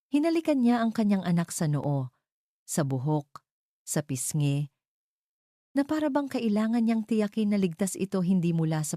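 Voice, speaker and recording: A woman's voice: fairly low pitch, dark tone, no room sound, studio quality. A woman narrating a romance story in a scripted, dramatic storytelling style.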